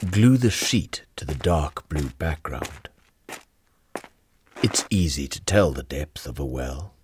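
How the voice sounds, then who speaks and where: A man's voice: extremely low pitch, expressive, takes it slowly, noisy background. A man reading short, isolated test sentences aloud from a script.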